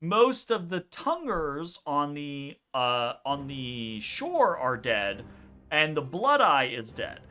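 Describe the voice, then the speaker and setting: A man running a tabletop game as game master, casual and animated, swearing freely and trailing off with filler words. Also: on the high side, slow pace. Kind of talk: narrating a tabletop game online.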